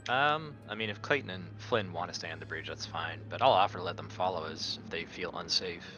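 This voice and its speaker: A man's voice: low-pitched, a little fast, bright, forceful tone, dull audio, soft background music. A man talking casually as a player in a tabletop roleplaying game.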